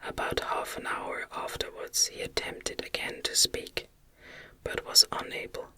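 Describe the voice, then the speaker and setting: A woman reading a novel aloud as ASMR narration in a gentle, soothing, breathy whisper. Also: measured pace.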